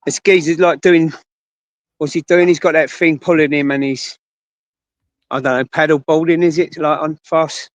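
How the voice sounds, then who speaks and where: Londoner man with a highish voice. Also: fairly even intonation, normal speed, no room sound. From London, England. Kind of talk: casual livestream chat. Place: outdoors by London docks.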